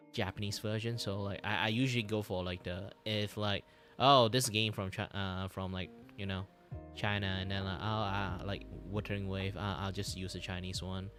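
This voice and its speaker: A man who speaks English as a second language, chatting casually on a livestream in a halting, repetitive way. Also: lowish voice. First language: not English. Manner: halting, repetitive, animated reactions. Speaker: streamer and animator.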